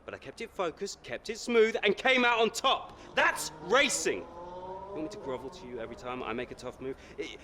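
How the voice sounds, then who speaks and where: Arrogant racing driver, swinging wildly in pitch. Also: male voice, expressive, normal speed, pushed and bright, slight background noise. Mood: defiant. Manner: sarcastic, dismissive. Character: arrogant, unrepentant young male racing driver, combative and sarcastic. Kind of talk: video game cutscene acting.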